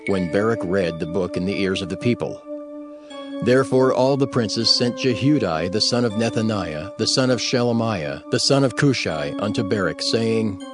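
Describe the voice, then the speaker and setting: Low-voiced male scripture narrator over music. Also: animated, normal speed. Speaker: audiobook narrator. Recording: prominent music bed under narration.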